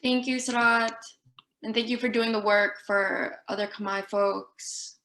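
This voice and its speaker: Moderator, woman, clear bright tone, measured, echoey video call audio. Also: on the high side, steady tone. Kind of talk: panel moderating.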